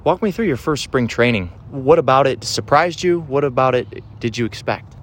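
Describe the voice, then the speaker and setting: A man's voice: mid-range voice, lively intonation, normal speed, a bit bright, a little hiss or hum. A man hosting a baseball pregame show, reading intros and asking questions in an upbeat, scripted announcer style. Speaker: radio host.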